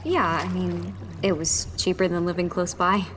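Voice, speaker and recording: A woman's voice: fairly low pitch, little pitch movement, a bit bright, slight background noise, natural voice. Brusque, edgy young rebel woman, a woman acting. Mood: dry. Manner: brusque, quick to panic. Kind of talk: audio drama acting.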